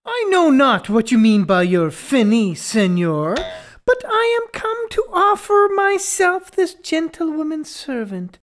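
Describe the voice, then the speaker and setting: A woman's voice: high voice, very animated, a little slow. A woman as a wildly swooping, cocky clown suitor. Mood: boastful wooing. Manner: cocky, mocking, chuckling clownish delivery. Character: boastful male trickster servant posing as rustic farmer suitor. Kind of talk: classical stage farce acting in an audio play.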